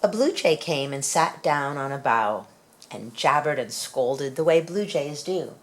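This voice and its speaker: A woman reading a children's picture book aloud in an expressive storytelling manner. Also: low voice, a little slow. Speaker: storybook reader.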